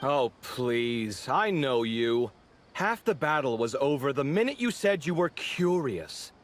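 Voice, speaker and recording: A man's voice: medium pitch, sing-song, slow pace. A man voicing a dramatic, sociable young male architect in a video game, theatrical, sarcastic and easily exasperated. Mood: warm, eager. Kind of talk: video game character acting.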